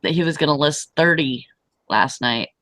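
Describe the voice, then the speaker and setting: A woman's voice: deep voice, normal speed, a bit bright, no echo. A woman in her forties hosting a casual online hangout, chatty and giggly, often trailing off mid-thought, heard over a video call. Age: 40s. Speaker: host of an online hangout show. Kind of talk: casual morning chat.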